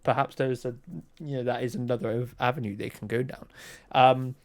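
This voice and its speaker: A man hosting a relaxed conversational podcast, thinking aloud as he puts questions and ideas to a guest. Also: mid-range voice, brisk. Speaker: podcast host, interviewer. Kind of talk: casual conversational interviewing.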